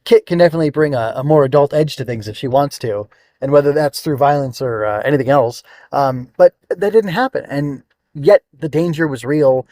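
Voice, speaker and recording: A man with a slightly high voice hosts a casual solo review podcast. He talks off the cuff, circling his thoughts and hunting for the right word. The sound is dry, with very little room around it. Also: a little fast, darkish tone, dry recording. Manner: rambling, searching for words, thinking aloud. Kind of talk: casual unscripted review monologue.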